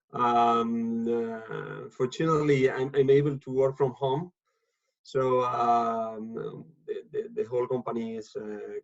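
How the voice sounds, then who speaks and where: Halting man on a dull video call. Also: medium pitch, gravelly. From Argentina. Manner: halting, many repeated words and fillers. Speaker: guest speaker. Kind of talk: casual talk on a video call. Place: home. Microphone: video call from home.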